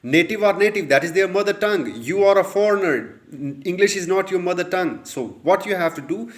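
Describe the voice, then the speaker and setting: A man's voice: high voice, animated, a little fast. Earnest Pakistani man coaching English. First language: Urdu. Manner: earnest, repeats points, frequent "uh" fillers. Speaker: English tutor. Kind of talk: advice talk to camera.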